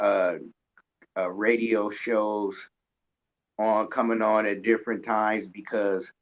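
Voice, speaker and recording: A man hosting a casual radio interview, talking in a halting way and often repeating words. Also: lowish voice. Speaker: radio show host and interviewer. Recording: dull lo-fi recording.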